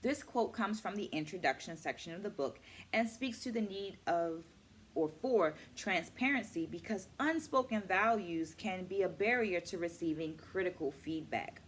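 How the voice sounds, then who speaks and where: A middle-aged woman hosting a book club vlog, explaining to camera with deliberate, emphatic phrasing. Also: fairly low pitch, animated, slight background noise. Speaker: host of a virtual book club, educator. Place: small furnished room at home, indoors.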